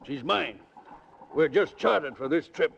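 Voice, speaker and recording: A man acting a hearty, deferential charter boat captain with folksy sailor talk and a gravelly voice, in a 1940s radio drama. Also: a little high, animated, measured pace. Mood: cheerful. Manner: folksy nautical dialect, hearty. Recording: old muffled noisy 1940s radio recording.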